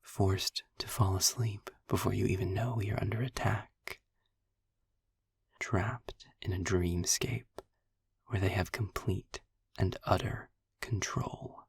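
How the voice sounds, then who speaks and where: Sarcastic male demon for intimate audio roleplay, played by a man: low, slow, darkly amused, deliberate storyteller. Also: steady tone, no echo, studio quality, full character voice. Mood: ominous, measured. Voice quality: low, breathy, gravelly near-whisper. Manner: sarcastic, wordy, deliberate storytelling with dramatic pauses. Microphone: close microphone in a dry, quiet space.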